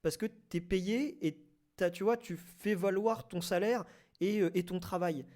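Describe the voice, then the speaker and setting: A man's voice: sits high, measured pace, crowd noise. A man in a casual debate, often groping for the right word and repeating himself. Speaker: panelist in a debate. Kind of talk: casual debate talk about audio fiction.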